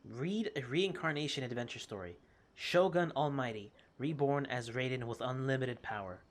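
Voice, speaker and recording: A game streamer doing casual, expressive commentary and reading on-screen text aloud in an informal way. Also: mid-range voice, normal speed, a little hiss or hum. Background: game music.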